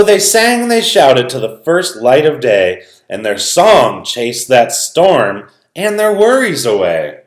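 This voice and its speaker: Slow, very expressive man reading a storybook. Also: lowish voice. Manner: dramatic, expressive. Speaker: storybook reader. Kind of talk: animated children's read-aloud, rhyming narration. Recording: roomy, echoing sound.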